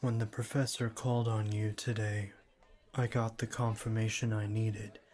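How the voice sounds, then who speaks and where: A man playing a shy young classmate in an ASMR roleplay, speaking formally and stiffly with hesitant stammers and a cool, deadpan manner. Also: on the low side, lightly acted. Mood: cool, reserved. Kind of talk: ASMR roleplay, scripted one-sided dialogue.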